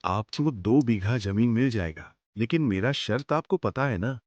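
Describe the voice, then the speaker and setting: A man's voice: medium pitch, lively intonation, unhurried, darkish tone, no room sound, highs cut off, close to an everyday voice. A man voicing a greedy, cunning village moneylender in a cartoon story, with a smug and sly way of talking. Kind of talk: Hindi cartoon story voice acting.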